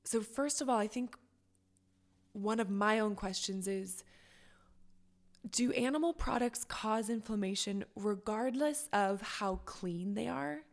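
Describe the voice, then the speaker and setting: A woman hosting a podcast, chatty and asking questions from her own experience, with her voice going hoarse. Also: medium pitch, a little slow. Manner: chatty, asks personal questions. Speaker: podcast host. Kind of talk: casual interview chat.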